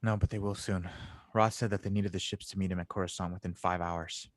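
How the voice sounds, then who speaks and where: A man's voice: low voice, fairly even intonation, fairly quick, dry recording, natural voice. A man playing a determined, earnest young engineer hero in an audio drama read.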